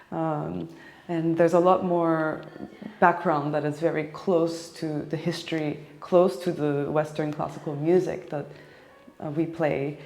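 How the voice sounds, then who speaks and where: A young woman from Japan and Hawaii, talking casually and reflectively in an interview, pausing often as she thinks. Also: sits low, a little mellow. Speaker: classical cellist being interviewed. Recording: echoey, reverberant room.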